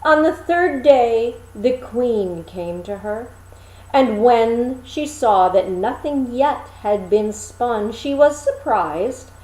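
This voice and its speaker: A woman reading a fairy tale aloud as expressive storybook narration, with dramatic, deliberate phrasing. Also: fairly high pitch, reverberant, poor audio.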